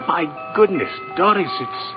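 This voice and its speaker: Give me a kindly old Santa-like gentleman in a vintage radio drama. He is earnest and warm, with a rather high, very animated voice. The sound is dull old broadcast audio. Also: a little slow, loud music behind the voice.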